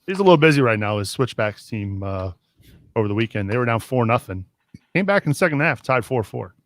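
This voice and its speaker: A man's voice: mid-range voice, very animated, brisk, no room sound. A man hosting a casual sports podcast, steering the talk with plenty of questions. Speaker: podcast host.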